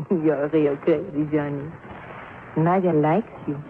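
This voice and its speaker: An actress playing a playful, flirtatious foreign femme fatale who speaks broken English in a 1950s radio drama. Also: female voice, low voice, steady tone, slow pace. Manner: broken English, refers to herself by name. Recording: tinny, hissy 1950s radio recording.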